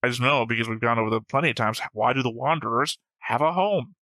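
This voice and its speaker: A man's voice: medium pitch, fast talker, bright, forceful tone, dry recording. A man hosting a reaction show, talking casually and argumentatively with frequent swearing. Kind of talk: casual discussion, reacting to an animated series.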